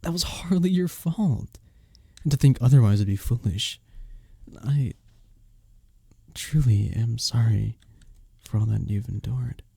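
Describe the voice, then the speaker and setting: A man playing a kind, courteous innkeeper in a medieval fantasy village, speaking softly and formally in an intimate ASMR roleplay drama. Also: medium pitch, a little slow, vocal fry, pauses often, slightly rough, no echo, clean studio sound. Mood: concerned. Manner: gentle, formal storybook phrasing, hesitant and tender.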